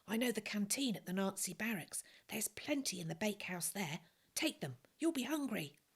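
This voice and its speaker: A woman's voice: medium pitch, expressive, moderate pace, husky, pitched above the performer's own voice. A woman voicing a small, cheeky, secretive orphan boy in a storybook reading, speaking in short matter-of-fact phrases. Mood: cheeky, boastful.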